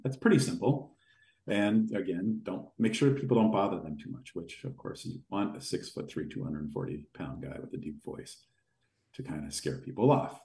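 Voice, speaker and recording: A man with a deep voice telling long, rambling anecdotes as a podcast guest. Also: normal speed, creaky. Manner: rambling, animated anecdotes with fillers. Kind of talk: casual storytelling in an interview. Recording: echoey room.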